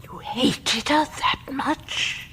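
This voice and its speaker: An actress playing an eerie, knowing older female neighbour who questions slowly and insinuatingly in a radio play, in a creaky whisper. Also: sits high, pushed and bright, a little hiss or hum, exaggerated voice.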